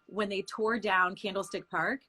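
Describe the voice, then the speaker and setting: A woman in her thirties from the Bay Area, a real estate agent and podcast guest, telling candid, animated stories and laughing often. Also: medium pitch, normal speed, slightly rough. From San Francisco Bay Area. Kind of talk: casual interview chat.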